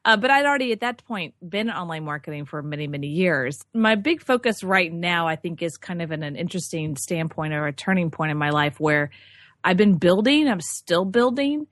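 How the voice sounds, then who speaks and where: A woman's voice: lowish voice, lively intonation, brisk, a bit bright, no room sound. A woman from Texas with no regional accent, a business coach telling her story as an interview guest in a chatty, candid way with many fillers.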